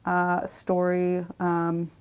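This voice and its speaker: A woman's voice: on the low side, completely flat delivery, extremely slow, a little hiss or hum. A woman from a theater group giving a casual announcement at an event. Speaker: theater company member.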